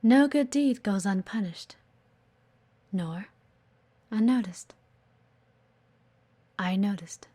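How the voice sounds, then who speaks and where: A woman's voice: mid-range voice, lively intonation, takes it slowly, long pauses, mellow. A woman playing a blunt, guarded but earnest executive assistant in an audio roleplay, assertive and exasperated. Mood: earnest, admiring. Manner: exasperated, assertive, frequent sighs. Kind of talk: audio roleplay acting addressed to the listener.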